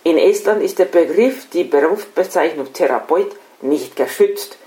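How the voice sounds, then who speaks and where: A middle-aged woman from Estonia with an Estonian accent, a non-native speaker reading a scripted lecture in a formal, deliberate way. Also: on the low side, lively intonation, mellow. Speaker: lecturer, special-needs educator. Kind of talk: scripted lecture read aloud. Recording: echoey room sound.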